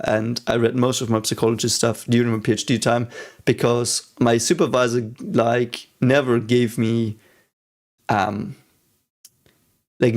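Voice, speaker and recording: A man's voice: mid-range voice, gravelly. A man in his thirties with a German accent, chatting casually on a live stream and answering chat, rambling with many fillers and restarts.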